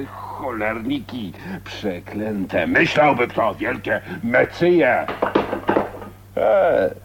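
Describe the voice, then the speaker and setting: An actor playing a gruff, foul-mouthed old working man in a radio drama, grumbling earthily in rustic Polish dialect. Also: mid-range voice, lively intonation, extremely slow, a crowd in the background, exaggerated voice. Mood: scornful, grumbling.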